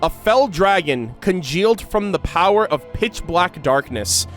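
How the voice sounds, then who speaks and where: A man's voice: sits high, expressive, measured pace, fairly bright tone. A man hosting game lore commentary as a streamer, speaking in an enthusiastic, conversational manner. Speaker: content creator hosting a game lore reaction. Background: background music.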